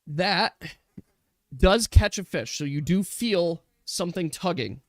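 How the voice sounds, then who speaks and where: A man acting as game master, casually narrating a tabletop game in a halting, thinking-aloud manner. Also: sits high, expressive, dry recording. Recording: dry close mic with music behind. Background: music.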